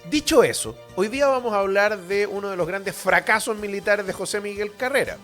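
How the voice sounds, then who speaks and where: A man's voice: high-pitched, a little fast, a bit bright, faint music behind. A man with a Chilean accent hosting a radio history show, lecturing informally in a blunt, opinionated, colloquial way full of rhetorical and tag questions.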